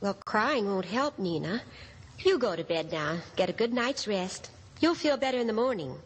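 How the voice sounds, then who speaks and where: A woman playing a gentle, worried mother, soothing yet firm, in old-time radio drama style. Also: mid-range voice, very animated, moderate pace, close to an everyday voice. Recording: noisy vintage radio drama recording.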